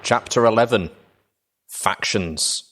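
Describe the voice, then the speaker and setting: A man reading first-person audiobook narration in a steady, measured way. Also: on the low side, slight background noise.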